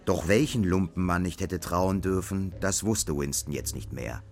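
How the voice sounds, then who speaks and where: Low-voiced man narrating a radio drama. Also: normal speed, a little mellow. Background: faint music.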